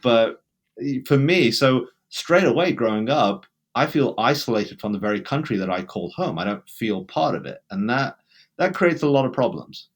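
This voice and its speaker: A man's voice: medium pitch, animated, moderate pace. A man with a British accent, talking emphatically as a guest in an interview, in bursts with restarts. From England. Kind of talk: conversational interview.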